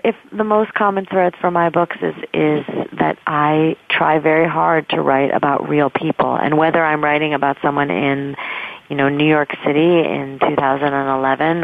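A woman's voice: low voice, creaky, no room sound. A woman author being interviewed, talking earnestly and expansively in long, flowing lists. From United States. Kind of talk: phone interview. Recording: dry tinny phone line.

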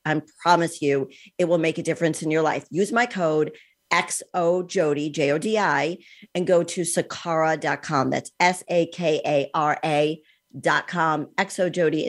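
A woman in her sixties with a low voice hosts a podcast interview. She talks in a chatty, eager way and fills pauses with an occasional um. Her low pitch sits well under most women's voices. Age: 60s. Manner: chatty, enthusiastic, uses ums. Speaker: podcast host.

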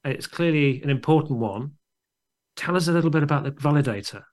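A man hosting a podcast interview, asking his guest questions in a measured, encouraging way. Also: on the high side, animated, a little mellow. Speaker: podcast host.

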